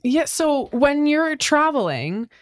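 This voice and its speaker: A woman's voice: high voice, very animated, slow, no room sound, studio quality. A woman hosting a podcast interview who asks long, rambling questions and reacts with effusive enthusiasm. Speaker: podcast host.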